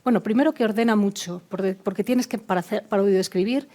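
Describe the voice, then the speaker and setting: A woman's voice: mid-range voice, fast talker, dark tone. A woman speaking on an expert panel, thinking aloud with frequent fillers, restarts and repeated words. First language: not Spanish. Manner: hesitant, many fillers and restarts. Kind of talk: panel discussion.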